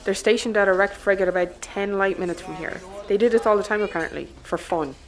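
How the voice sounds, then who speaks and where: A dry, sardonic Irishwoman captaining a small spaceship, played by an actress in an audio drama. She is wry and grudging, with a middle-pitched voice. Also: fast, natural voice, poor audio. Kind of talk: scripted audio drama acting.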